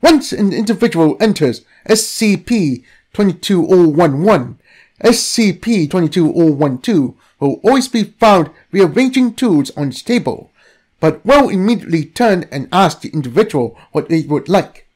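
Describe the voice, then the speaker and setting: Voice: mid-range voice, expressive, moderate pace, darkish tone, breathy edge. Narrator for scripted documentary-style read, very varied intonation.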